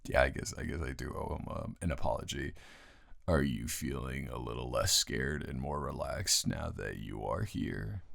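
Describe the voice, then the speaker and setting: A man playing a tired, grumpy but secretly soft roommate in an improvised intimate audio roleplay, talking straight to the listener in a halting, teasing drawl. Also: extremely low pitch, animated, gravelly, no room sound, clean studio sound, full character voice. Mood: soft, content.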